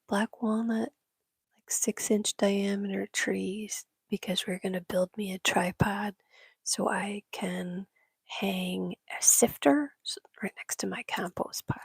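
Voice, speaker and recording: A woman from Michigan whispering in casual chat with her livestream viewers, breathy and laughing often. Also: mid-range voice, dry recording. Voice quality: breathy, gravelly. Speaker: livestream host.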